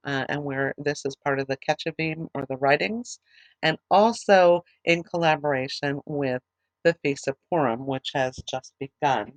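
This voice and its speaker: A middle-aged woman teaching a Bible study, reading scripture aloud in a plain, steady way, her voice tired and fading late at night. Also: sits low, no echo, poor audio. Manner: plain, steady reading with small halting restarts.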